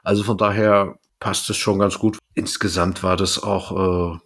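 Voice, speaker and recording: A man with a slightly low voice speaks as a podcast co-host. His delivery is casual and conversational, like someone reviewing something with a friend. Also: level tone, unhurried, fairly bright tone. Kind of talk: casual chat reviewing an audio drama.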